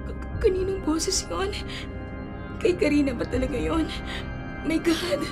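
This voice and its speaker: A woman's voice: very high-pitched, measured pace. An actress playing a terrified young woman who stammers and sobs in a radio horror drama. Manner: panicked, stammering. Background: loud dramatic music.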